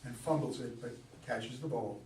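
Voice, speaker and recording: A man's voice: medium pitch, moderate pace, a little mellow. A man reading stage directions as narrator at a staged play reading. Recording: echoey room, far from mic, background noise.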